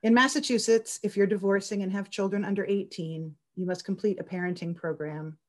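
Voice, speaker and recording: A woman's voice: mid-range voice, fairly even intonation, brisk. A woman speaking on a video call as a festival organizer and writer, chatting and reading her essay aloud.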